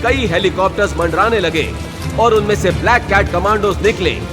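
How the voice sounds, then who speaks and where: A man's voice: sits high, normal speed, music in the background. A man narrating a scripted audio drama in a suspenseful, dramatic style.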